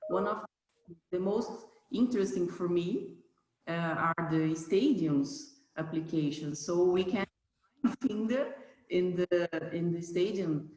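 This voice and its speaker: A Brazilian woman, a native Portuguese speaker, answering interview questions about a company in halting English, searching for words. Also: on the low side, darkish tone, roomy sound, rough recording. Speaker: company manager being interviewed.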